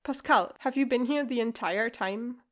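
A woman voicing a worried young father, calling out loudly and urgently. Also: fairly high pitch, moderate pace, lightly acted. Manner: loud and urgent.

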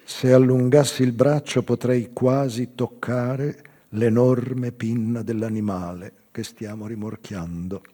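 Slow, husky man reading in a reverberant hall. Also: mid-range voice, fairly even intonation. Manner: slow, measured, theatrical delivery with swelling and hushed phrases. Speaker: actor reading a literary text. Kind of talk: dramatic literary narration with live music. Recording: long hall reverb, faint music. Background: live music.

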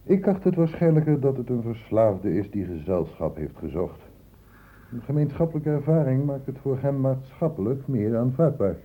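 An actor in a Dutch radio play voicing an earnest, insistent doctor who questions in a measured, formal way. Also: male voice, mid-range voice, big pitch swings, slightly rough. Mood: measured, reasoning. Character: earnest, insistent male doctor investigating an infection outbreak. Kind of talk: Dutch radio drama acting. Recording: muffled phone-like old radio recording.

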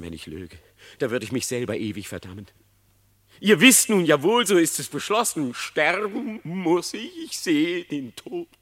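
An actor playing a cunning, lying fox who theatrically feigns repentance in a classic German verse radio drama. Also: male voice, medium pitch. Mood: pleading, remorseful. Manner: theatrical, feigned repentance, swinging between hush and outcry. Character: cunning lying male fox pleading before his execution.

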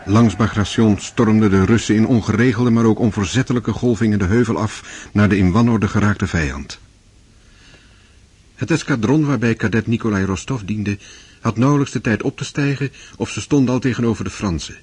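A man's voice: fairly low pitch, fairly quick, a little mellow, breathy edge, dry recording. A man narrating a radio drama in a scripted, literary style.

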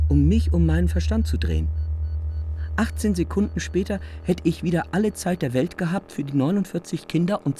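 An actor playing a guilt-ridden, embittered air traffic controller in a radio drama monologue, brooding and deliberate. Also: male voice, on the high side, mellow. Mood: agitated. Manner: brooding, deliberate, literary phrasing.

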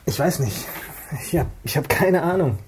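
A man's voice: fairly high pitch, expressive, moderate pace, a little hiss or hum, lightly acted. An actor playing a guarded, subdued young male police officer in a naturalistic radio crime drama. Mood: uneasy, bitter. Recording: faint music behind the voice. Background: music.

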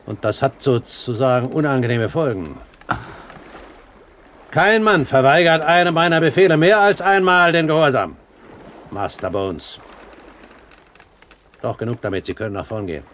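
An actor playing a commanding pirate captain in a radio play, courtly, measured and sardonic in manner. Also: male voice, mid-range voice, lively intonation, a bit bright, natural voice. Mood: stern, sardonic. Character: suave, commanding pirate captain and great-uncle. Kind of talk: German radio play acting. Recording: faint background noise.

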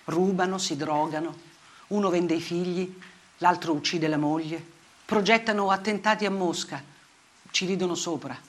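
An actress playing a grave, bitterly ironic woman journalist in a theatrical monologue, speaking deliberately in short, weighted phrases. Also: lowish voice, close to an everyday voice. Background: music.

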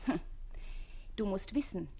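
An actress playing a shrewd, teasing young bride and lady's maid in a German radio play, coquettish and expressive. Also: mid-range voice, measured pace, gravelly, husky, heavily acted. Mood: mocking, frank.

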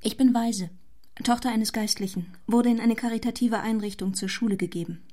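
An actress playing a guarded, proud young governess in a German radio play, speaking in a reserved, formal and composed manner. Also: female voice, a little high, fairly even intonation, fairly quick, mellow, natural voice. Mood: resolute, reserved.

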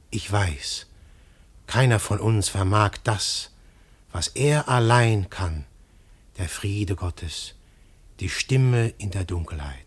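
Casting: a low, husky-voiced narrator recalling a vision, unhurried, old radio play. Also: male voice, heavily acted. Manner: slow, hushed, reflective. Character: male first-person narrator, dreamlike and awed. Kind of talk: 1950s radio drama narration.

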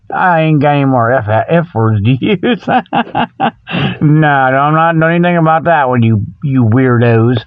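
Man, high-pitched, folksy comic radio host, rambling, scatterbrained, mock salesman, dull lo-fi sound. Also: dry recording. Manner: scatterbrained, loses his thread, chuckles at his own jokes; folksy, colloquial, checks in with "okay". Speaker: host of a comedy paranormal radio show.

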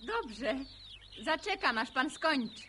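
An actress playing a polite, composed village schoolteacher in a radio drama, speaking courteously with gentle, educated diction. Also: female voice, high-pitched, sing-song, takes it slowly, slight background noise, heavily acted. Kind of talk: naturalistic radio drama acting.

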